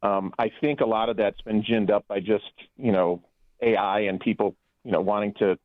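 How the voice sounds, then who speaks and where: A man's voice: on the low side, fairly even intonation, moderate pace, dry recording. A man calling in to a radio talk show as a guest, talking loosely with many restarts and fillers. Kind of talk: phone-in radio interview. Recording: tinny phone line, dry.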